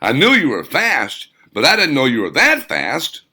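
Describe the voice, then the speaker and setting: A man voicing an excitable, admiring male assistant, loud and exclaiming, in a storybook reading. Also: mid-range voice, normal speed, slightly rough, pitched above the performer's own voice, heavily acted. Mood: excited. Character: excitable, admiring male plant assistant greeting his boss.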